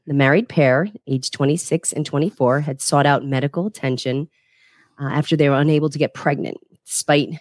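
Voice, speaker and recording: A woman with a notably low voice reads a news item aloud for a podcast and breaks into amused giggles as she goes. The sound is dry and up close. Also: a little mellow, dry recording. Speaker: podcast co-host. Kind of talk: reading a news story aloud with commentary.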